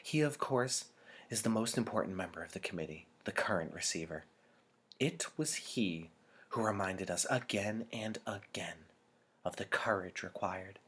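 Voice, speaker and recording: Man reading as authoritative female elder, low-pitched, solemn, ceremonial, deliberate, storybook dialogue. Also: expressive, fairly bright tone, slightly rough, exaggerated voice. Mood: solemn, formal. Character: chief elder, solemn authoritative woman leader addressing community. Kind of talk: audiobook character dialogue.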